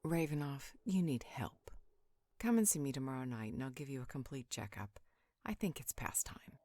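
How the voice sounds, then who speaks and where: A woman playing a sharp-tongued, exasperated vampire healer in a roleplay audio drama, cutting and incredulous. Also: extremely low pitch, animated, normal speed, no room sound, full character voice. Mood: offended, scolding.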